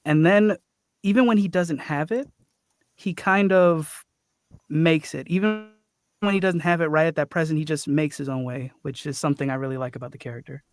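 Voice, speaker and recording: A man with a slightly high voice talks casually on a cast panel. His manner is earnest and measured, and the sound is very dry. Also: dry recording. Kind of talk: casual chat.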